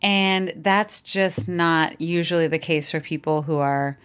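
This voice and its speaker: A woman's voice: low voice, measured pace, a bit bright, clear, resonant tone. A woman in her forties giving frank relationship advice in a casual podcast conversation. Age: 40s. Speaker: relationship expert guest.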